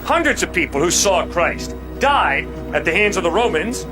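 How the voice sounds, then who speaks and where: A man with a distinctly high voice argues like an impassioned street apologist. He is emphatic and colloquial, leaning on rhetorical questions. Music plays clearly behind him. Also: big pitch swings, moderate pace. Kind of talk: impassioned open-air apologetics debate.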